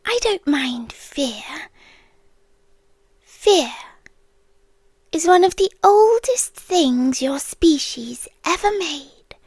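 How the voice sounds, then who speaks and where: British woman doing an ancient doomsday girlfriend thing, calm n clingy, high soft half whispered asmr voice, super slow. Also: no room sound, heavily acted. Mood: calm, ominous. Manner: slow, hushed, deliberate delivery. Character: ancient female apocalyptic being, calm possessive doting girlfriend.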